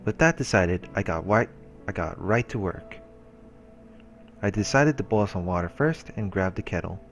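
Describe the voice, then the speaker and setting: A let's play host reading a visual novel's narration aloud, with casual asides. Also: male voice, low-pitched, animated, normal speed, soft background music.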